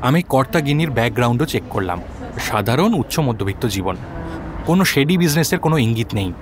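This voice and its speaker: A man's voice: mid-range voice, expressive, fast, background noise, close to an everyday voice. A man acting a deferential, methodical junior police officer in an audio drama, reporting point by point. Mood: dutiful reporting.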